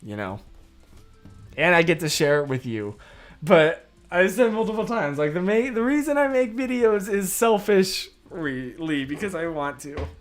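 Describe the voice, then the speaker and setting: Hoarse young man, rambling stream chat. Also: sits high, expressive, a little slow, faint music behind. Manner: rambling, loses his train of thought; laughs easily. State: hoarse, voice worn out. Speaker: livestream host. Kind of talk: casual livestream chat, answering comments.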